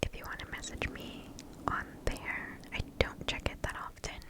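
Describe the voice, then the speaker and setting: A woman hosting ASMR, reading and chatting in a soft, breathy, unvoiced whisper very close to the microphone. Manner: soft, slow, unhurried. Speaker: ASMR video host.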